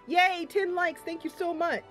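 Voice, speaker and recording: A woman hosting a live game stream, chatting casually and narrating in a playful, chatty manner. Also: extremely high pitch. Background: game music.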